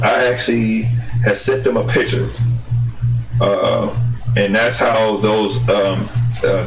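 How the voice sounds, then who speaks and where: Gaming host, male, hoarse and tired, rambling low voice, roomy and off-mic with music behind. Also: recorded from a distance. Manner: rambling, many fillers and restarts. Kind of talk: casual game commentary.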